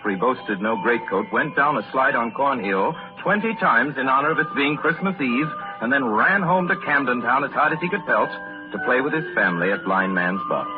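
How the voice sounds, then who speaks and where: A man's voice: medium pitch, animated, a little fast, phone-quality sound, lightly acted. A man narrating a Victorian tale as a scripted 1930s radio storyteller, in a literary manner. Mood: wry, atmospheric. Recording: thin 1930s radio recording, loud music. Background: loud music.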